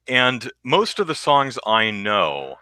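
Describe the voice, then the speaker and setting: A man with a deep bass voice, co-hosting a podcast in casual chat, rambling with lots of restarts. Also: sing-song, takes it slowly, sharp tone, clear, resonant tone, dry recording. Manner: rambling, self-interrupting with restarts, sings low demo notes. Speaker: podcast co-host.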